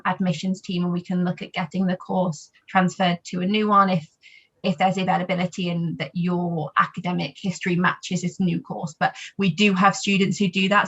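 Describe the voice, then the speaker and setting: A woman's voice: lowish voice, fairly bright tone, dull audio. Polite woman presenting a webinar. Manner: polite, helpful. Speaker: university representative and presenter.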